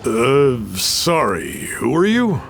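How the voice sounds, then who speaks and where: A man's voice: medium pitch, big pitch swings, takes it slowly, music in the background. A man playing a wary, touchy townsman in a video game, curt and defensive. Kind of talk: video game character acting.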